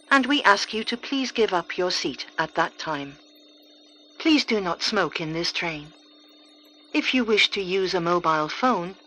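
A woman reading a formal scripted train announcement, measured and clearly articulated, over onboard PA speakers. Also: medium pitch, bright, forceful tone, low-quality recording. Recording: train PA recording with hum and noise.

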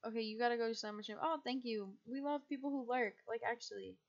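A young woman from the United States, chatting casually and rambling as she streams a game, with a husky, quiet voice and frequent laughs. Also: a little high, fast talker, lo-fi sound.

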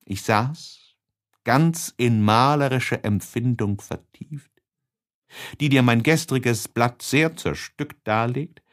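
A man reading literary prose as scripted audiobook narration in an expressive, declamatory manner. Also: medium pitch, slow pace. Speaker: audiobook reader.

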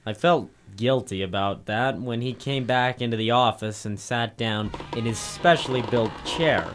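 An actor playing a brash, wisecracking detective's assistant and narrator, breezy and cheeky, in a 1940s radio drama. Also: male voice, medium pitch, measured pace, music in the background, close to an everyday voice. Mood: wry narration.

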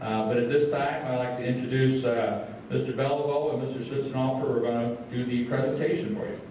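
A man's voice: medium pitch, steady tone, a little fast, dark tone, a little hiss or hum. A man who is a school superintendent addresses a community meeting from a podium in a large school room, talking plainly with many filler words. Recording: roomy echo, distant from the mic.

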